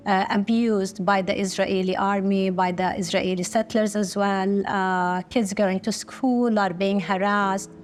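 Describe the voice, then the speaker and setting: A middle-aged woman from Kuwait, speaking slowly and earnestly with short pauses in a documentary interview. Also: mid-range voice, darkish tone, a little hiss or hum. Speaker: business founder.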